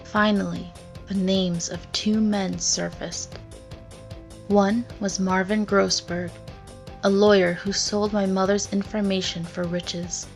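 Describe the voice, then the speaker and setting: A woman playing a determined female lawyer in a game fandub, narrating a written record in a measured, grave way. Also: mid-range voice, level tone, gravelly. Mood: solemn, resolute. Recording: music behind the voice.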